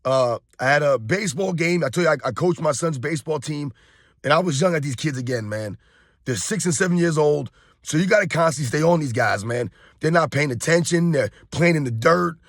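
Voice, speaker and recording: Hoarse guy ranting to his viewers, kinda high voice, super dry close sound. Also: lively intonation, fairly quick, no echo. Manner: emphatic, repetitive, addresses viewers as guys. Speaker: sports commentary YouTuber. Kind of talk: casual opinion rant to camera.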